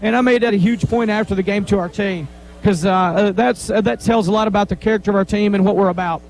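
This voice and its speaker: A man, a high school football coach, giving a plain-spoken postgame interview on the field and talking through the game's key plays. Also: steady tone, fast, a little mellow, rough recording. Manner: plain-spoken, rambling, repeats himself. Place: on the field after a game.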